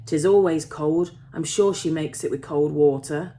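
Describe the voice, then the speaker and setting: A woman voicing a gruff, grumbling old male tin miner with a Yorkshire accent in a story. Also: deep voice, a little slow, warm tone, slight background noise. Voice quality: gruff, rough. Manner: dialect grammar, grumbling, emphatic repetition.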